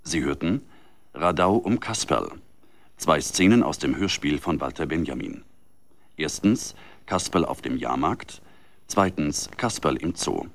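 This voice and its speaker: A man's voice: a bass voice, lively intonation, a little slow, gravelly. A man working as a radio announcer, reading a formal scripted announcement.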